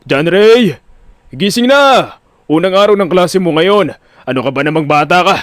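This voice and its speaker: A man voicing a loud, nagging parent scolding a teenager in an audio drama. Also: sits high, expressive, normal speed. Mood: scolding, urgent. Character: scolding parent waking a teenage son for school.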